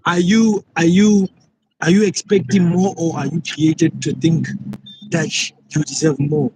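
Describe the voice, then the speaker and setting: A man's voice: a little high, fairly even intonation, unhurried, background noise. Argumentative South African man on a call. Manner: argumentative, repeats himself, frequent "like". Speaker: call-in guest. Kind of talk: casual debate on a live call. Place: in a taxi.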